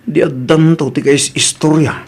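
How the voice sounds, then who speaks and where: A man's voice: a little high, a little hiss or hum. A man playing a humble, polite husband in an audio drama, deferential and easygoing, with an Ilocano accent.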